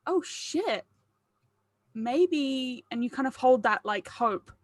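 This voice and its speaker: Higher-voiced, informal woman directing. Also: animated, slow, no echo, clean studio sound. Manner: informal, encouraging. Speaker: director of an audio drama table read. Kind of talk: casual group chat and feedback.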